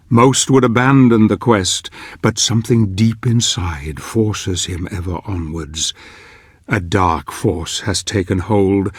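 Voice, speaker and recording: An actor playing a grave, foreboding older chronicler, narrating a dark fantasy game in a solemn, theatrical, measured manner. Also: male voice, lowish voice, breathy edge, no echo, clean studio sound.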